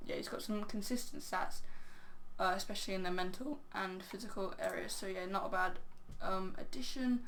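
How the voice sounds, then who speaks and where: A woman with a crackly, hoarse voice giving casual, rambling video game commentary, full of "um" and "yeah". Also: mid-range voice, fairly bright tone. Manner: rambling, filler-heavy, "um, yeah". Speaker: game channel host.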